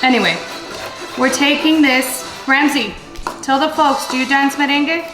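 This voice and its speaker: A woman's voice: high-pitched, moderate pace. A woman from the Dominican Republic hosting a casual cooking vlog in her home kitchen, chatting playfully with viewers and the kids around her. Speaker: cooking channel host. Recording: echoey kitchen with music playing.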